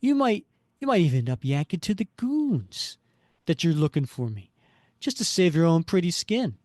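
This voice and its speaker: A man's voice: on the high side, big pitch swings, normal speed, a little mellow, no room sound, voice pushed up. Man acting a menacing, resentful crook, dry sound. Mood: cold menace. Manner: threatening, accusing. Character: menacing, resentful male criminal, abusive and paranoid.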